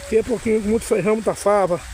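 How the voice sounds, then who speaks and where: A hoarse man, excitedly exclaiming as he films his farm outdoors in the rain on a phone. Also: high-pitched, very fast, slight background noise, low-quality recording. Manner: excited, exclaiming and singing along to music. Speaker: vlogger filming his farm. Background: music playing.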